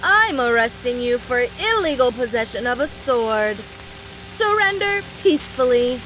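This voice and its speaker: A woman's voice: a little high, sing-song, unhurried, fairly bright tone, slight background noise, low-quality recording. A woman voice-acting a brash, mischievous young woman fighter from a video game, teasing in a playful, folksy, mock-serious way.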